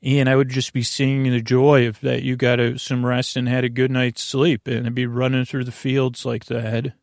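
A man with a creaky voice hosting a bedtime podcast in a meandering, halting, earnest monologue that keeps interrupting itself. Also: medium pitch, flat delivery, no room sound, studio quality. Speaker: podcast host.

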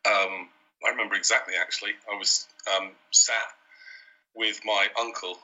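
A man's voice: lowish voice, fairly even intonation, normal speed, bright, forceful tone. A man from Wales as a guest on a podcast, talking in an easygoing, reflective way and laughing often, heard over an internet call. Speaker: guest, company CEO. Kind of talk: casual interview chat. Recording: dull internet call audio.

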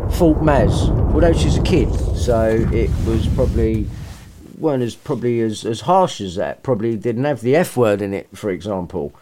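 A man's voice: lowish voice, expressive, normal speed, noisy background. A man narrating a storybook comically, with irreverent, sarcastic, sweary asides and a theatrical manner. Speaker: story reader and podcast host.

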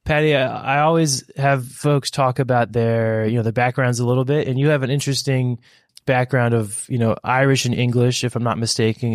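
A man's voice: medium pitch, no echo, clean studio sound. A man hosting a podcast, upbeat and laughing easily as he welcomes and interviews his guest. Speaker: podcast host.

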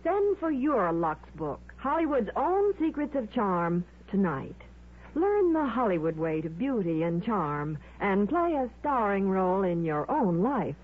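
A woman's voice: medium pitch, very animated, measured pace, slightly rough. A woman reading a scripted 1950s beauty advertisement on radio, with an alluring, emphatic sales delivery. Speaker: radio commercial announcer. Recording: tinny old radio broadcast.

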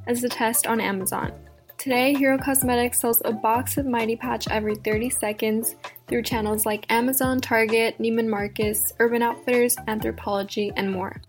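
A woman in her twenties hosting a podcast, chatting with a guest over a video call in a friendly way with fillers. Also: fairly high pitch. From Texas. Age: 20s. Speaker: podcast host. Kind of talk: casual video-call interview.

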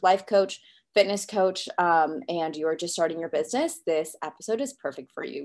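This is a young woman hosting a casual podcast, stuffed up and slightly nasal from a cold. She talks in a bubbly, gushing way and laughs easily. Her voice sits a little on the low side. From California. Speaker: podcast host. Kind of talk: upbeat podcast intro.

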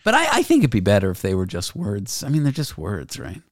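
A man's voice: on the low side, wildly swooping pitch, fairly quick, no room sound. Canadian guy hosting a chat podcast, rambly and jokey, dry close sound. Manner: joking, rambling, self-deprecating. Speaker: podcast host. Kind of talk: casual chat.

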